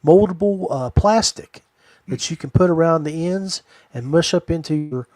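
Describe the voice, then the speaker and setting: A man hosting a podcast in a casual, folksy, halting way. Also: fairly high pitch, darkish tone, no echo. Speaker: podcast host.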